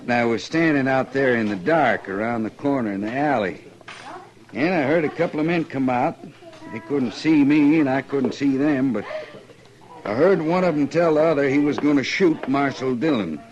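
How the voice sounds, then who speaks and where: A man's voice: medium pitch, lively intonation, moderate pace, darkish tone, close to an everyday voice. An American man acting a sullen, slippery frontier gambler in an old-time radio western, guarded and folksy. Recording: dull vintage radio, crowded saloon behind.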